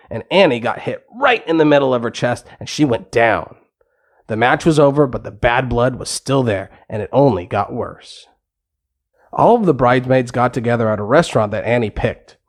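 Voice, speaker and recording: A man's voice: mid-range voice, a little mellow. A man narrating a scripted bedtime story for kids.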